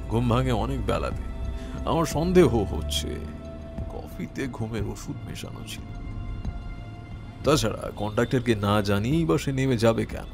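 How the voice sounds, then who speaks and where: A man playing a composed detective in an audio drama, speaking deliberately with polite authority and a probing, reasoning manner. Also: mid-range voice, lively intonation, gravelly, music in the background. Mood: measured reasoning. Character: composed, probing male private detective on a case.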